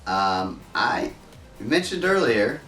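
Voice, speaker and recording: A man's voice: lowish voice, big pitch swings, takes it slowly, bright, forceful tone. A man in his thirties, a guest on a casual vlog, joking wryly and telling a rambling family story. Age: 30s. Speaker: guest, older brother. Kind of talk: casual chat. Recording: roomy echo, mic at a distance, faint music. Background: faint music.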